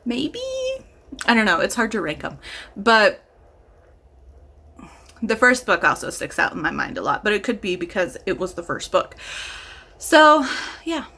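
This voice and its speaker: A woman's voice: medium pitch, very animated, a little slow, long pauses, bright, forceful tone, husky. A woman chatting casually as a vlogger and reviewer, gushing with enthusiasm and leaning hard on emphatic words. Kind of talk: casual chat to camera.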